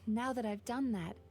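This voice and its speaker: A high, soft female voice for a gentle dream-eating spirit in a video game. She explains things in a measured, reassuring way, like a caring psychologist, with music playing behind her.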